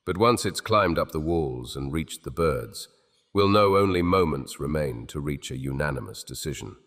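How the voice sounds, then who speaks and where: An actor playing a grieving, desperate king in a dark fantasy audio drama, speaking in slow, solemn, measured threats. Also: a bass voice, animated, exaggerated voice. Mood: grimly threatening. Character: grieving cursed old king, grave and desperate. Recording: reverberant with soft music behind.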